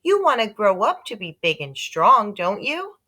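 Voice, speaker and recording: A woman's voice: medium pitch, very animated, unhurried, pushed and bright, voice pushed up, heavily acted. A woman voicing an anxious mother in a children's storybook, coaxing and pleading.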